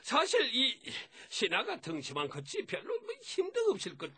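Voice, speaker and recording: A man's voice: very high voice, huge pitch swings, moderate pace, sharp tone, breathy edge, dry recording, exaggerated voice. A man voicing a scheming, fawning evil sorcerer in a children's puppet show, with an exaggerated delivery. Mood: fawning, sly. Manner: exaggerated, cackling, obsequious stammering.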